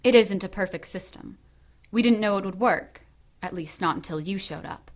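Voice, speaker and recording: Lead witch: actress as regal, composed high priestess, mid-range female voice, formal and authoritative radio-play delivery. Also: moderate pace, natural voice. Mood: guarded calm. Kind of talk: audio drama acting.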